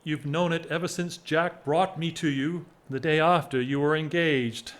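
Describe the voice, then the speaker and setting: An actor playing a whimsical, lovesick English gentleman of forty-one in a period audio drama, wry, measured and self-mocking. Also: on the high side, fairly even intonation, natural voice. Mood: resigned confession.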